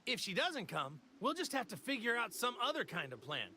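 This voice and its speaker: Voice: mid-range voice, sing-song, fairly quick, bright, forceful tone, slight background noise. An actor playing a cheerful, naive martial-arts hero in an anime video game, folksy, boyish and eager. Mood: sheepish, casual. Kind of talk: English anime game dub acting. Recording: music behind.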